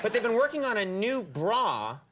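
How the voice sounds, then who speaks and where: Joking talk show host. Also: male voice, sits high, big pitch swings, fairly bright tone. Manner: joking, quick interjections. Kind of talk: late-night talk show banter. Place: TV studio with live audience. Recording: studio audience and noise behind.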